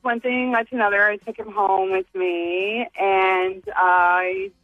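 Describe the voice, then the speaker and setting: A woman's voice: mid-range voice, steady tone, slow pace, no room sound. A woman calling into a radio show, chatting confessionally in a flustered, defensive, hesitant way. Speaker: phone-in guest. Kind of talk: confessional casual chat. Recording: narrow phone line, music behind.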